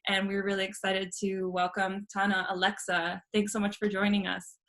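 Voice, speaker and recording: Bright, crisp woman host on a livestream, friendly, a bit ummy. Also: mid-range voice, monotone, normal speed. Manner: friendly, scripted intro with fillers. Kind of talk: livestream interview hosting.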